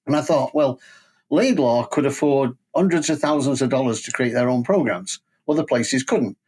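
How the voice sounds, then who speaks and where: A man's voice: medium pitch, normal speed, darkish tone, slightly rough. Older Englishman with a Manchester accent. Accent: Mancunian English. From England. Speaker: podcast guest, safety training company president. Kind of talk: conversational career storytelling.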